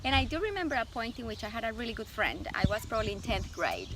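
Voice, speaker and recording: A woman in her forties from Colombia, speaking English with a Colombian Spanish accent, whose first language is Spanish. She is a guest talking warmly about her past, with frequent laughs and thoughtful pauses. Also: on the high side, big pitch swings, very noisy. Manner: warm, laughs often, thoughtful pauses with fillers. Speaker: guest, image consultant. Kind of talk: casual interview.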